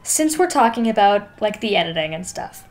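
A woman's voice: mid-range voice, sing-song, a little fast, a little mellow. A young woman co-hosting a podcast, chatting casually and emphatically about a film, with plenty of swearing and filler likes. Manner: informal, sweary, emphatic, frequent "like". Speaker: podcast co-host. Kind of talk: casual film discussion chat.

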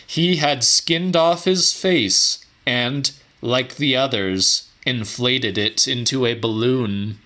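A man reading a horror audiobook in a measured, theatrical way. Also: medium pitch, bright, forceful tone. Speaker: audiobook narrator. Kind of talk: dramatic horror audiobook narration.

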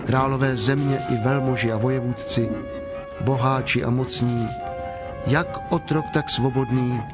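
A man narrating a dramatized scripture reading in a solemn, measured storytelling manner. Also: medium pitch, fairly even intonation, loud music behind the voice.

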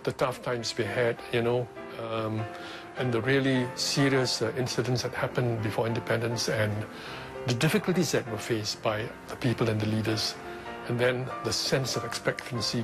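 An older man in an interview, reflecting thoughtfully on the past in a measured way. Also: mid-range voice. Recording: interview with music underneath.

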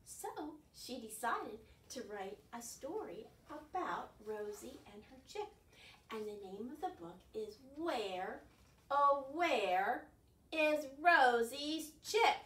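An older woman leads a children's storytime in a high voice that swings widely up and down. Her delivery is expressive and made for little listeners. The sound is roomy, as if picked up from across a room. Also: slow. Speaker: library storytime host. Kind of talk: children's storytime, reading aloud and singing. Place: carpeted living room at home. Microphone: camera a few feet away. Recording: roomy, distant mic, some background noise.

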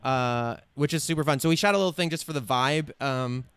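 A man's voice: medium pitch, brisk, fairly bright tone, smooth, no echo. A man co-hosting a live table read over a video call, chatting casually and rambling with enthusiasm.

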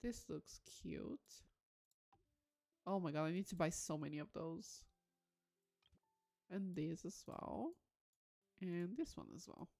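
A woman live-streaming a game, talking casually to her viewers. Her pitch swings widely and expressively as she gushes and exclaims over what she sees. She sounds excitable and informal. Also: takes it slowly, vocal fry, long pauses, soft background music. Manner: excitable, gushing, exclaims often.

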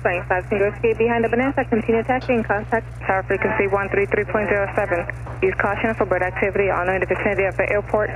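Fast, clipped airport radio announcer. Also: male voice, sits high, fairly even intonation, poor audio. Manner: rapid, clipped delivery. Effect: aviation radio. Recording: radio broadcast with clear noise.

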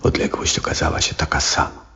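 An actor playing an aging, brooding, compassionate astronomer in a 1970s radio drama, reflective and earnest. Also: male voice, pushed and bright, lightly acted. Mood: pensive reminiscence. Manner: reflective, earnest, measured theatrical delivery. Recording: dull 1970s radio recording.

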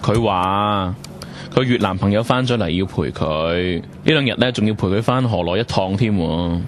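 A man's voice: on the low side, moderate pace, slight background noise, close to an everyday voice. A man with a Hong Kong Cantonese accent playing a defensive, sweary young office worker in a colloquial radio drama. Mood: sullen. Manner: curt, sweary. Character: defensive, foul-mouthed young office worker nursing hurt pride.

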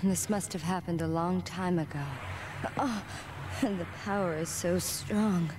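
An actress playing a defiant young female spirit medium in a video game cutscene, speaking in halting, breathless phrases. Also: fairly low pitch, steady tone, close to an everyday voice. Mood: solemn. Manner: slow, halting, breathless delivery. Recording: noisy old game cutscene audio.

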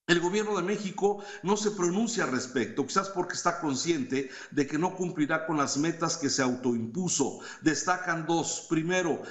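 Middle-aged man, column review over a headset, higher voice, roomy echo. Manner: measured, reads quotes aloud with small hesitations. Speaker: news commentator reviewing opinion columns. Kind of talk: reading and commenting on newspaper columns. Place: home study with bookshelves. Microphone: headset mic on a video call.

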